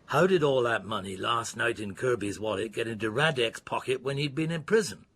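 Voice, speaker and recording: An actor playing a weary, dogged middle-aged police detective who narrates his case in a radio drama, with a gravelly voice. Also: mid-range voice, fairly quick, a bit bright. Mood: reflective, brooding. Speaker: narrator and lead character. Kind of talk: radio drama acting, first-person narration.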